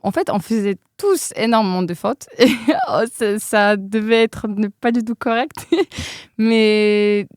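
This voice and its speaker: A woman's voice: medium pitch, takes it slowly, a little mellow, smooth, dry recording, studio quality. A young woman, not a native French speaker, telling her exchange-study story in a casual interview, hesitant with frequent fillers. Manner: hesitant, many fillers, laughs often. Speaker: interview guest.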